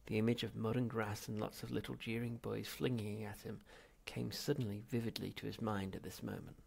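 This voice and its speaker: Gravelly, husky male audiobook narrator. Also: lowish voice. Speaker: audiobook reader. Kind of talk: expressive literary narration of comic science fiction.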